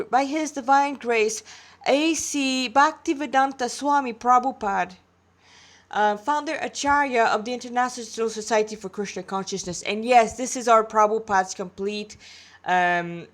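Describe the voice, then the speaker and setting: A woman reading her own amateur fan fiction, breaking into candid, self-deprecating, chatty asides. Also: a little high.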